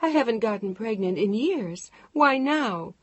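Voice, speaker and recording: A woman voicing a distraught, defiant pregnant woman who shouts and pleads. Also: lively intonation, unhurried, slightly rough, no echo, muffled recording. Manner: shouting, pleading, raised pitch.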